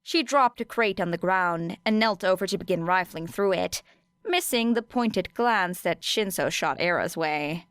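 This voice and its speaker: A woman's voice: lowish voice, a little slow, fairly bright tone, smooth, no room sound, clean studio sound. A woman reading scripted fiction as an audiobook narrator. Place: close, dry recording space.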